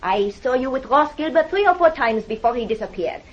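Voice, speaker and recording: A woman's voice: high voice, very animated, a little fast. An actress playing a haughty, fiery French woman in vintage radio drama, with a French accent and imperious, formal phrasing. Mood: cold, dismissive. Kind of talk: 1940s-50s radio drama acting. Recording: dull vintage radio recording.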